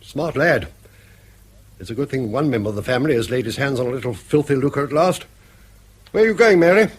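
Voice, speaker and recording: Sardonic elderly RP father, period radio drama: jaunty, wry, rough-voiced, animated. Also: mid-range voice, a little fast. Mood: teasing. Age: older. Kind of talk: mid-century British radio drama acting.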